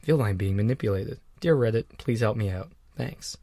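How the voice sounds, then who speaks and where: A man's voice: lowish voice, fairly quick, warm tone, no room sound. A man co-hosting a podcast, chatting loosely with restarts and swearing. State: on cold medication. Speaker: podcast co-host. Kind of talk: casual chat.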